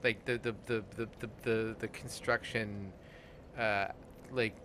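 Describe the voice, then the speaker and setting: A man with a fairly low voice commentates casually while playing a game. He talks in halting, looping phrases with easy profanity, and there is clear noise behind him. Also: level tone, bright, forceful tone. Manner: halting, frequent swearing. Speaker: host and player of a gaming show. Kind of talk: casual let's play commentary.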